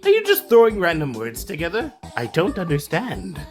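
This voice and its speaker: A man plays a kindly, absent-minded male tinkerer from a cartoon. His delivery is mild, polite and puzzled, and it swings widely up and down in pitch. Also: huge pitch swings, normal speed, music in the background. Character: gentle, befuddled, kindly amnesiac older male tinkerer. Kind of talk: comic book fan dub cartoon acting.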